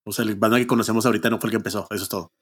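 A man's voice: lowish voice, steady tone, racing, breathy edge. Mexican man in casual conversation. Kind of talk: casual chat.

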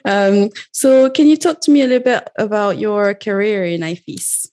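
A woman's voice: mid-range voice, expressive, moderate pace, darkish tone. A woman hosting a podcast interview in a casual, informal, chatty conversational way. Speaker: podcast host.

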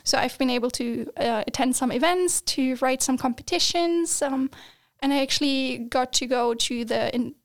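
Woman, 20s, student guest, high-pitched, chatty, laughs a lot. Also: moderate pace. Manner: chatty, laughs often, many fillers and restarts. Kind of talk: casual podcast chat.

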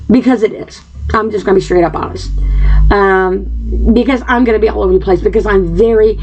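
A woman hosting a podcast, talking earnestly from home into her computer microphone, wandering off topic with lots of fillers and throat clearing, her mouth dry. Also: medium pitch, darkish tone, rough recording. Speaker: podcast host. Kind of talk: rambling personal monologue.

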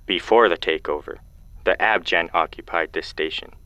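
A man playing a solemn, mysterious caller in an audio drama, speaking in a measured, cryptic and stern way. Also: low-pitched, sharp tone, dry recording, lo-fi sound.

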